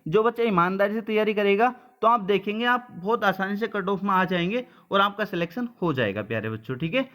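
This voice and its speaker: A man's voice: high-pitched, animated, a little fast, a bit bright. A man giving an informal update to students as a coaching teacher, blunt and colloquial, often checking they follow with short tags.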